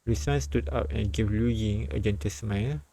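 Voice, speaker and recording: A man reading a story and its dialogue aloud as a live streamer, often halting and repeating phrases. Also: fairly low pitch, vocal fry, mellow, dry recording. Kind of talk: read-aloud narration and dialogue translation with side comments.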